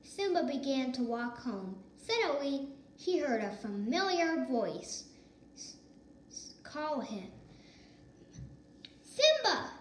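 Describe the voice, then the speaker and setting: A woman reading a children's story aloud with deliberate delivery and occasional corrected stumbles, in an echoey room. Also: high-pitched, big pitch swings. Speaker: storybook reader. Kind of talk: children's read-aloud narration. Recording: echoey room with faint noise.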